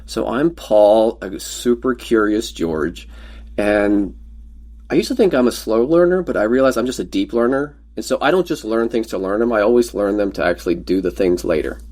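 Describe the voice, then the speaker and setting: A man's voice: fairly low pitch, lively intonation, moderate pace, darkish tone. A man from Pennsylvania whose first language was Pennsylvania Dutch, a podcast guest telling rambling, joking stories about himself. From Pennsylvania, USA. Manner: joking, rambling anecdotes with self-deprecating humor. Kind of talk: casual conversational storytelling.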